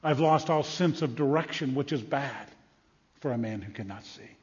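A man playing an excitable blind beggar of ancient Jerusalem in a dramatic first-person monologue, halting and repeating himself, swinging between hushed and loud. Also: medium pitch, breathy edge, lightly acted. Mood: hushed, awed. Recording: echoey large hall, slightly muffled.

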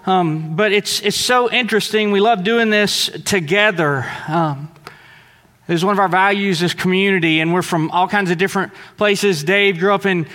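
A man's voice: high voice, moderate pace, sharp tone. A man from Southeast Texas preaching a sermon in a loose, conversational way in a church sanctuary. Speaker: pastor. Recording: long reverb of a large church hall.

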